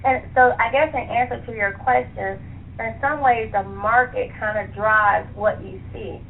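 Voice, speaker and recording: A woman with a fairly high voice talks as an interview guest over a narrow, phone-like connection. She explains things conversationally, thinking aloud and reaching for examples. A faint noise sits on the line. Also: moderate pace, vocal fry. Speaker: interview guest, author and librarian. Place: remote call to internet radio show.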